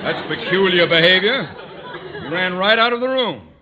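An actor playing a pompous, impatient high school principal with emphatic delivery in a 1940s radio comedy. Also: male voice, lively intonation, moderate pace, crowd noise. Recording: muffled 1940s radio, audience behind.

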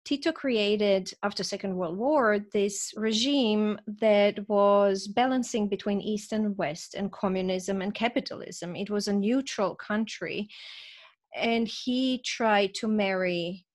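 A woman's voice: medium pitch, slow. Croatian-accented woman telling her story. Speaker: podcast guest, author. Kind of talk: conversational personal storytelling.

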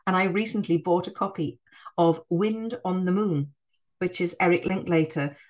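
A middle-aged woman with a Northeastern English accent and a somewhat low voice. She talks as a guest in a podcast interview, reflective and unhurried, often doubling back and restarting her sentences as she remembers. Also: highs cut off. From Northeast England. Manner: warm, reflective, frequent restarts. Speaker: head teacher, guest.

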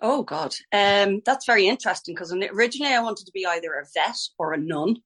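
A woman's voice: medium pitch, lively intonation, fairly quick, fairly bright tone, slightly rough. A middle-aged Irish woman, a ballet teacher, chatting in a podcast interview, talking freely, restarting sentences and laughing easily. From Ireland.

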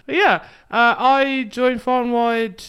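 Smooth-voiced young woman hosting a chat. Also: a little high, fairly even intonation, takes it slowly, fairly bright tone. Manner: chatty, laughs easily, leads the conversation. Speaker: podcast host and youth ministry leader. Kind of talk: casual chat.

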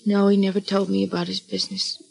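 A woman's voice: medium pitch, completely flat delivery, fast, gravelly, a little mellow, breathy edge, poor audio. An actress playing an earnest, upset young woman under questioning in a radio crime drama. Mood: anxious, insistent. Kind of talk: 1940s radio drama acting.

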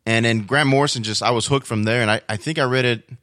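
A man's voice: mid-range voice, steady tone, quick pace, a bit bright, no echo. A man chatting informally about comics as a podcast guest, in a rambling, enthusiastic fan's way. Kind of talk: casual chat about comics.

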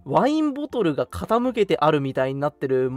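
A young man co-hosting a radio show, chatting casually in an animated, talkative way. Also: on the high side, brisk, soft background music. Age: young adult. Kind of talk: casual chat.

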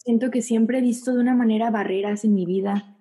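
A woman's voice: on the high side, steady tone, brisk, mellow, breathy edge. A young woman singer from the Tijuana–San Diego border, answering interview questions in a thoughtful, rambling way with bits of English. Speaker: singer, guest.

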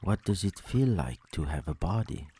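A man leading a guided sleep meditation, speaking slowly and soothingly with long pauses between phrases. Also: sits low, big pitch swings, dark tone, a little hiss or hum.

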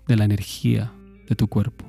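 A man leading a guided mindfulness meditation in a calm, measured, instructive manner. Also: fairly low pitch, vocal fry, husky, soft background music.

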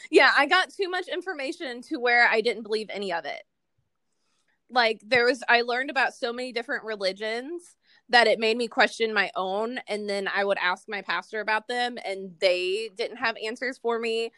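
A woman's voice: on the high side, normal speed, bright, forceful tone, dry recording. A young American woman chatting casually as a podcast host, laughing often and poking fun at herself. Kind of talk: casual chat.

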